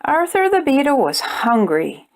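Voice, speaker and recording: Woman, high voice, slow pace, children's read-aloud, expressive storytime host. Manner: expressive, addressing young children directly.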